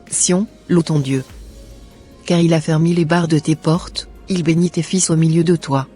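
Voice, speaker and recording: Mellow husky woman reading scripture. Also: low-pitched. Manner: measured, devout.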